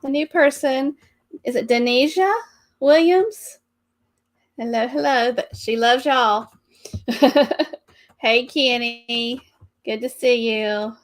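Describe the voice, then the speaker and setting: A middle-aged woman with a drawl hosts a live stream in a bubbly way, laughing often between greetings. Her voice sits a little higher than most women's. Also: slow pace, long pauses. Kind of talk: casual live chat greeting viewers.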